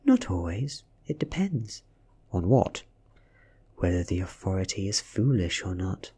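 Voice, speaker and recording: A British man voicing an ancient female vampire posing as a schoolgirl, soft-spoken, coy and taunting, in an audiobook reading. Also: sits low, very animated, a little slow, slightly rough, full character voice. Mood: cool, defiant.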